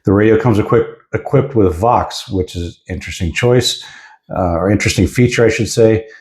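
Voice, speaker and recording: Low, mellow American man in a roomy space. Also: brisk. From United States. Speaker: radio reviewer. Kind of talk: casual product review and demonstration. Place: indoors at a desk. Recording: roomy, echoing indoor sound.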